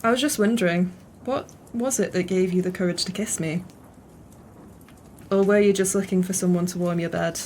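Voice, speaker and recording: An actress playing a teasing, sharp-witted pub regular in an audio play, with a wry manner. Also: female voice, on the low side, fairly even intonation, moderate pace, a little hiss or hum, natural voice.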